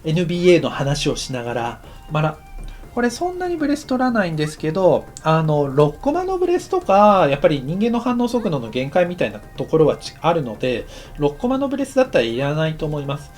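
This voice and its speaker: A man giving a casual, explanatory tutorial as an anime director, thinking aloud in short halting phrases. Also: a little high, wildly swooping pitch, darkish tone, soft background music. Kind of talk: instructional lesson narration, casual and explanatory.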